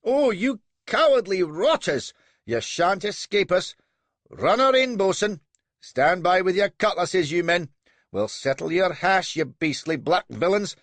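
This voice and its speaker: A man voicing an eager, hot-tempered young naval officer who barks orders in a high, cracking voice. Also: measured pace, no room sound, exaggerated voice. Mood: furious. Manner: excitable, shrill, barking orders.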